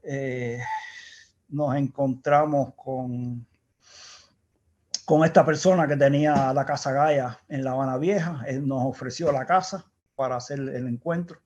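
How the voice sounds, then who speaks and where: A man's voice: medium pitch, fairly even intonation, a little slow, a little mellow. A man with a Cuban accent, an engineer guest in an interview, recalling the past in a reflective, rambling way with restarts. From Cuba. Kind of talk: casual storytelling interview. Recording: dull lo-fi sound.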